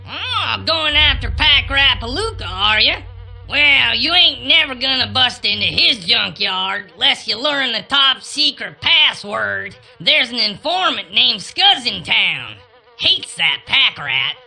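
An actress voicing a brash, folksy townsfolk creature in a western video game, colloquial and American. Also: female voice, fairly high pitch, very animated, takes it slowly, vocal fry, pushed and bright, full character voice. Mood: knowing.

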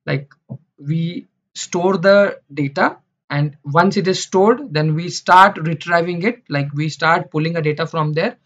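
A man's voice: fairly high pitch, unhurried, a bit bright. A man teaching beginners over slides, repeating phrases with many fillers and restarts. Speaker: instructor. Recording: dull lo-fi sound.

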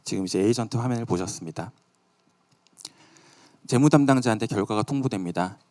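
A man's voice: fairly low pitch, brisk, warm tone, soft background music. A man giving a live product demo at a company event in a conversational way. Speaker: presenter at a company tech event.

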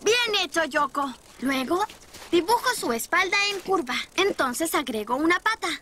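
A woman's voice: extremely high pitch, animated, moderate pace, sharp tone, a little hiss or hum, heavily acted. A woman voicing a cheerful, helpful young rabbit boy who encourages and explains in a children's cartoon. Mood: encouraging. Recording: music behind the voice.

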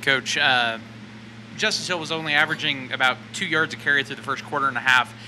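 Loud reporter calling from across a noisy room. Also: male voice, medium pitch, fairly quick. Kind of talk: press conference question.

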